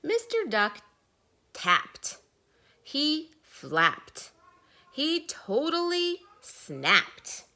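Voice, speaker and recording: A woman, a teacher, reading a children's story aloud with deliberate dramatic pauses. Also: high-pitched, very animated, pushed and bright.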